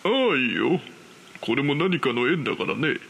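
A man's voice: mid-range voice, expressive, moderate pace, creaky, a bit bright. A man playing a casual, easygoing bazaar seller in a horror audio drama, offhand and friendly with a coaxing manner. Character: casual, easygoing male bazaar game seller, faintly suspicious.

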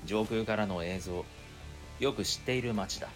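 A man's voice: fairly low pitch, normal speed, faint music behind, natural voice. A man playing an exasperated, long-suffering young man who narrates his own story in an audio drama. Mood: stunned narration. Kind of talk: first-person scripted narration in audio drama.

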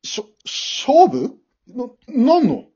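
A man's voice: very high-pitched, huge pitch swings, takes it slowly, mellow, slightly rough, dull audio, full character voice. A man acting in an amateur radio drama as an awkward, remorseful middle-aged father, hesitant and easily flustered.

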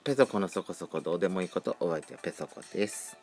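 A man's voice: low-pitched, lively intonation, very fast, darkish tone. A man around forty hosting a casual solo podcast, chatting with campy, feminine phrasing. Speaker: podcast host. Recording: faint background music.

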